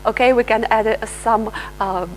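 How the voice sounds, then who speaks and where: A woman researcher giving a live software demonstration, pausing often with fillers and restarting her sentences. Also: on the high side, steady tone, slight background noise, low-quality recording. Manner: hesitant, many filler sounds and restarts. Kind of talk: live software demo talk.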